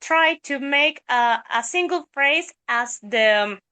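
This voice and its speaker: A woman's voice: high voice, animated, slow, bright, forceful tone. A woman soprano discussing singing technique on a podcast, speaking thoughtfully with many hesitations. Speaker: soprano singer.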